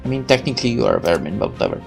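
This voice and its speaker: A woman's voice: very deep voice, huge pitch swings, racing, gravelly, a little mellow, soft background music. A woman reading a visual novel game aloud as narrator and player, adding casual commentary.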